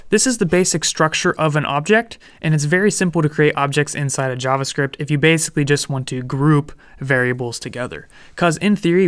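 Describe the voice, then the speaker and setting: A young man explaining programming in a relaxed, friendly way over a screen recording, with light jokes. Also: on the high side, smooth, no echo. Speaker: programming instructor. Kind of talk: screen-recorded coding tutorial.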